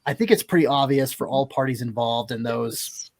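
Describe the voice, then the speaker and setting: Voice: medium pitch, normal speed, vocal fry. A co-host running a segment of a hockey podcast, organized and jokey. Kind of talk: casual hockey podcast chat.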